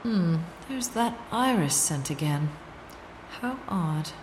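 An actress playing a plucky, sardonic sleuth in a parody radio serial, thinking aloud in a wry manner. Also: female voice, lowish voice, expressive, slow. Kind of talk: parody old-time radio drama. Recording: very noisy, echoey, long reverb.

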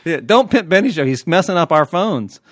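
A man's voice: high-pitched, lively intonation, a little fast, no echo, clean studio sound. A man hosting a podcast with casual comic banter, joking and mock-exasperated. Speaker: podcast host.

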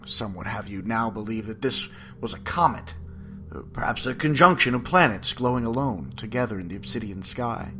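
Slow, dramatic male storyteller. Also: mid-range voice. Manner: dramatic, with wide swings in loudness. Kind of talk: dramatic scripted monologue reading.

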